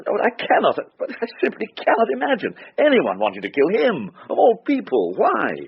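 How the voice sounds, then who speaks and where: A flustered, pompous bank manager. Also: male voice, sits high, huge pitch swings, moderate pace, vocal fry, husky, full character voice. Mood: shocked disbelief. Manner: flustered, formal, effusive. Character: shocked, earnest, pompous male bank manager. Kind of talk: radio drama acting. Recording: dull, noisy radio drama recording.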